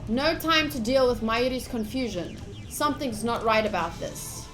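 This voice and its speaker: A woman's voice: a little high, moderate pace, fairly bright tone, faint music behind. A woman streamer reading a visual novel aloud and chatting to viewers, moving between dramatic narration and casual asides. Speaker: game streamer. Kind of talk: live visual novel playthrough, reading aloud and commenting to chat.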